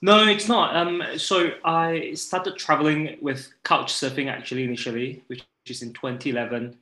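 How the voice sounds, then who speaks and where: Male guest, thirties, Malaysian accent, bright forward voice, casual chat, roomy echo. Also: on the high side, fairly even intonation, normal speed. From Borneo, Malaysia. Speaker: podcast guest. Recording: echoey, roomy sound.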